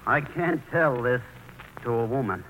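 An actor playing an awkward, earnest nephew who speaks hesitantly and sheepishly, in 1940s radio drama style. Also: male voice, mid-range voice, expressive, unhurried, phone-quality sound. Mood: anxious. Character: bashful, awkward, earnest nephew wanting to marry. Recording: faintly noisy vintage radio recording.

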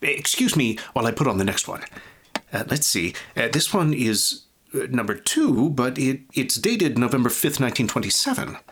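Hesitant lecturer, husky, lively mid-range voice, apologetic and folksy, radio drama. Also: male voice, medium pitch, moderate pace. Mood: apologetic, fumbling. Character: hesitant, apologetic presenter playing archive recordings to an audience. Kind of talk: radio drama, informal public address.

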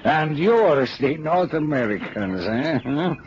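A muffled old radio recording with faint noise carries a sly Mexican schemer. He drawls slowly in a Mexican accent, teasing and boastful, with veiled menace under the chuckles. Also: a little high, expressive. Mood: mocking, amused. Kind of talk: radio drama acting.

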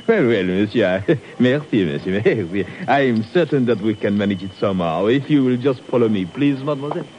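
A man's voice: mid-range voice, lively intonation, moderate pace, a little mellow, slight background noise, close to an everyday voice. Man playing an obliging train conductor. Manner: polite, deferential. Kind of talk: 1950s radio drama acting. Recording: muffled vintage radio broadcast.

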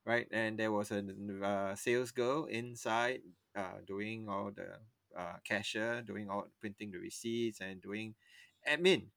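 A man's voice: fairly low pitch, a little slow, gravelly, fairly bright tone, no echo. A young man, chatting on a podcast and telling a long personal story that rambles, stops and restarts mid-sentence. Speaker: podcast co-host. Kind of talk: casual storytelling chat.

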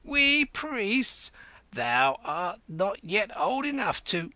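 A man's voice: high-pitched, big pitch swings, very slow, sharp tone, dry recording, voice pushed up, heavily acted. A man playing an imperious old queen. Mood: teasing. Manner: imperious, scolding, cackling. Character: proud old widowed queen, sharp-tongued.

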